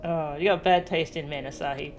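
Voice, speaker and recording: A woman's voice: deep voice, fairly even intonation, a little fast. A woman streaming a game, giving casual, amused live reactions in an informal way. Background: game music.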